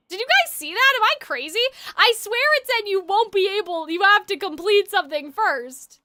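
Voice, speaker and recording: A woman live-streaming a video game, reacting and chatting casually in an excitable, exclamatory way and often repeating herself. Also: very high voice, a little hiss or hum. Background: game music.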